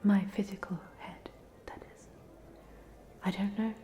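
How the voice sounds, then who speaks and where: A woman's voice: medium pitch, level tone, unhurried, slight background noise, close to an everyday voice. A woman playing a polite, chatty ghost of a drowned student in a whispered, hesitant ASMR roleplay. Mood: wry, resigned. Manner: whispered, hesitant, gently rambling.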